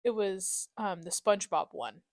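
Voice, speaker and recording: A young adult woman hosting a podcast in a casual chat, giggly and animated as she tells stories with slangy exclamations. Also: mid-range voice, normal speed, dry recording, studio quality. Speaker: podcast host. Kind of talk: casual chat, reminiscing with a friend.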